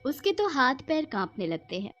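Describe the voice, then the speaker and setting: A woman's voice: high-pitched, sing-song, normal speed, a bit bright. A woman narrating a scripted cartoon story, with music playing behind her.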